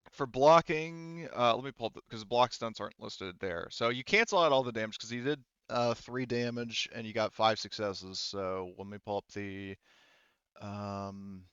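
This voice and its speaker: A man acting as game master in a casual tabletop session, haltingly thinking aloud as he checks rules and sets up the action, with some casual swearing. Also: medium pitch, a bit bright, clean tone, no echo, highs cut off.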